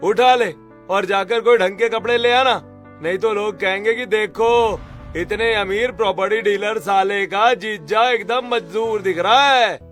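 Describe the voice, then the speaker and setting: Man playing an arrogant young cartoon show-off, high bright voice, drawn-out mocking taunts. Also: soft background music. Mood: mocking, boastful. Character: arrogant young brother-in-law, showy property dealer, mocking. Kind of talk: Hindi cartoon story dialogue.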